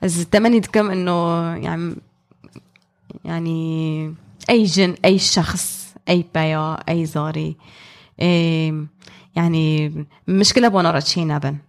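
A woman's voice: low-pitched, steady tone, racing, long pauses. A woman speaking as an interpreter on a podcast, in spontaneous spoken translation.

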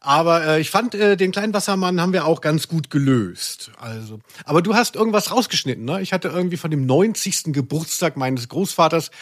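A man's voice: a little high, lively intonation, a little fast, hardly any pauses, no room sound, studio quality. A man co-hosting a casual, jokey podcast, talking in a wordy, self-mocking, rambling way. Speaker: podcast co-host. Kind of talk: casual, jokey podcast chat about audio dramas.

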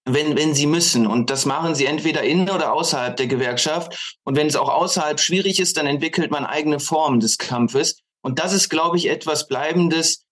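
A man with a somewhat high-pitched voice speaks as a guest in a political discussion. He picks his phrases deliberately, halting as he goes, and stresses points in enumerations. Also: a bit bright. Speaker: podcast guest, author.

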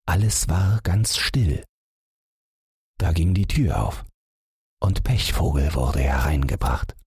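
Deep, husky male storyteller. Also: expressive, slow pace, a little mellow, dry recording, clean studio sound. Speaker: audiobook narrator. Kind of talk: scripted bedtime fairy-tale narration.

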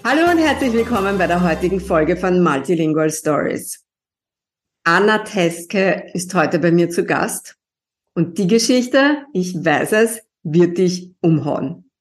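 A woman's voice: fairly low pitch, expressive, unhurried, slight background noise. Woman hosting a podcast. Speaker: podcast host, linguist. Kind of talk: podcast interview.

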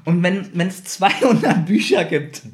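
High-voiced man bantering. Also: unhurried. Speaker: podcast co-host. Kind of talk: casual bantering chat. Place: living room at co-host's home. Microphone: one microphone shared with co-host.

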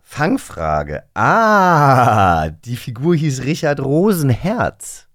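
A man's voice: mid-range voice, sing-song, extremely slow, fairly bright tone, dry recording, clean studio sound. A man co-hosting a podcast, chatting casually and teasing in a playful way. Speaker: podcast co-host. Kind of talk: casual, jokey studio chat with quiz questions.

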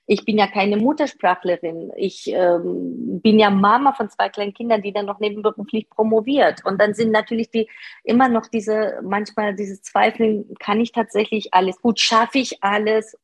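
Husky, hesitant woman in her thirties. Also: medium pitch. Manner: hesitant, searching for words, many fillers. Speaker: podcast guest, part-time manager. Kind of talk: conversational interview answers.

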